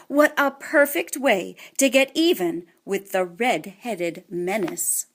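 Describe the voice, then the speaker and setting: A woman narrating a children's audiobook in an expressive, scripted storytelling manner. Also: fairly high pitch.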